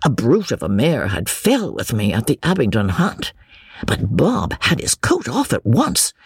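A narrator playing a tipsy, boastful young gentleman, husky-voiced. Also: medium pitch, wildly swooping pitch, unhurried, no room sound, clean studio sound, exaggerated voice. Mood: tipsy, excited. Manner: drunken, slangy, rambling, laughing at himself.

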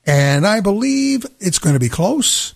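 A man's voice: high voice, big pitch swings, a little slow, gravelly, no echo. Dry, wry older man on radio. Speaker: radio host. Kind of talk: casual radio banter. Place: radio studio. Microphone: studio mic.

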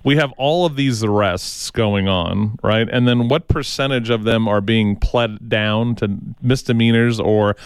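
Smooth, clear-voiced male talk radio host. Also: on the low side, measured pace, hardly any pauses, no room sound, clean studio sound. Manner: blunt, colloquial, emphatic. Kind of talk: opinionated casual talk radio.

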